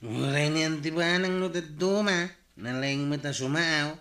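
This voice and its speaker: A man's voice: on the high side, unhurried, fairly bright tone. A man playing a teasing tricycle driver and drinking buddy in an Ilocano radio comedy, with an Ilocano Filipino accent.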